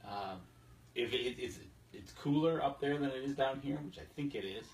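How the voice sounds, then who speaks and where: A man's voice: medium pitch, moderate pace. A man co-hosting a casual hunting podcast, agreeable and conversational. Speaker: podcast co-host. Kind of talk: casual hunting talk show chat. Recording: distant mic, noticeable background noise.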